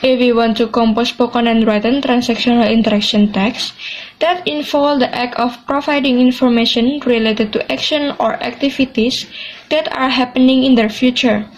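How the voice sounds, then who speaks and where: A woman's voice: on the high side, slight background noise, low-quality recording. A teenage young woman with an Indonesian accent, reading explanations as co-host of a student podcast. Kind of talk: scripted explainer read aloud with chatty links.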